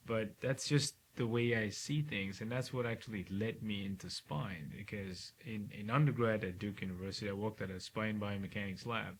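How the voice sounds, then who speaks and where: A man's voice: fairly low pitch, normal speed, few pauses. Reflective man from Cameroon, faint hiss. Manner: reflective, frequent "right?" tag questions. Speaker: neurosurgeon guest. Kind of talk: relaxed interview conversation.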